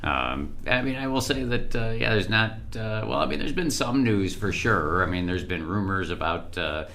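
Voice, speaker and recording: A man's voice: on the low side, animated, normal speed, gravelly, pushed and bright, slight background noise. A man chatting casually on a podcast in a rambling way, his voice froggy from being sick. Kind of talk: casual chat.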